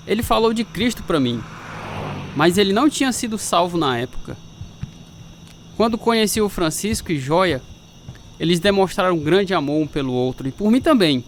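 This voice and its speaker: An actor with a Brazilian accent playing an earnest, reformed young sailor full of gratitude in a radio drama. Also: male voice, high-pitched, slight background noise.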